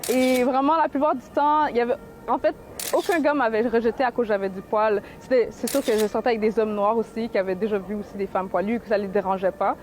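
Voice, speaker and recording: A woman's voice: a little high, steady tone, fast, darkish tone, slight background noise. A young woman in her twenties with a Québécois accent gives an earnest personal testimony, with frequent hesitations. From Montreal, Canada. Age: 20s. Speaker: activist and painter. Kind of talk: personal testimony in a video clip.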